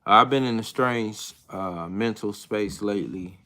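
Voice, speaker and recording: A man in his thirties hosting a casual live chat with viewers, talking in a hesitant, reflective way with many pauses and restarts. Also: fairly low pitch, noisy background. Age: 30s. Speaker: livestream host.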